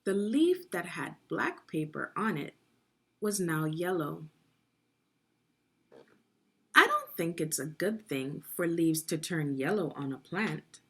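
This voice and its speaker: A woman with a slightly husky voice reads aloud to young children as a teacher would. Her pace is unhurried and her pitch moves in lively swings as she explains and puts questions to the listener. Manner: instructional, prompting listeners with questions. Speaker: teacher leading a children's read-along. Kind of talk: guided read-aloud of a children's science book for young learners.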